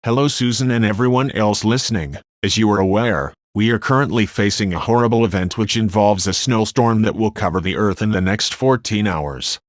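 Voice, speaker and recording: A sombre weather expert acted by a man gives plain survival instructions for a radio drama. His voice sits fairly low and the recording is very dry. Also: brisk, hardly any pauses, dry recording, close to an everyday voice. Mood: grave, instructive. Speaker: radio show guest expert. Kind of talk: scripted radio drama monologue.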